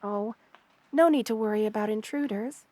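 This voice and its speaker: A woman playing a loving, protective spider queen in an ASMR roleplay, speaking tenderly and with gentle regal poise. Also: mid-range voice, normal speed, dry recording, natural voice. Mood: reassuring. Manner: tender, nurturing, gently regal, addresses listener with endearments. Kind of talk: ASMR roleplay, intimate character acting.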